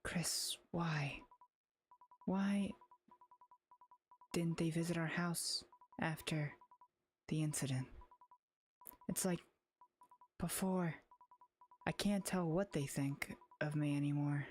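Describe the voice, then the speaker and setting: A woman's voice: sits low, takes it slowly, long pauses. A woman voicing an anxious, lonely young girl in a visual novel, in an earnest, wistful inner monologue. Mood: worried. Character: anxious, lonely young girl narrating her haunted thoughts. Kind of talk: visual novel read-aloud. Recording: music behind the voice.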